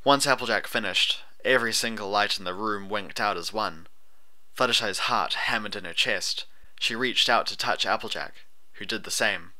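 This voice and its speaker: A man's voice: lowish voice, moderate pace, pushed and bright. A man reading a fantasy story as a scripted audiobook narration.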